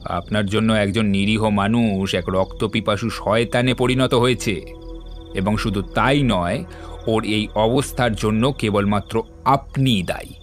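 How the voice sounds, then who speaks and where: Guy acting as sharp calm detective, lowish voice, measured n sure of himself, audio story w faint music. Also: lightly acted. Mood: accusing. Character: composed, shrewd male detective explaining a case. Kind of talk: dramatic detective monologue in an audio drama.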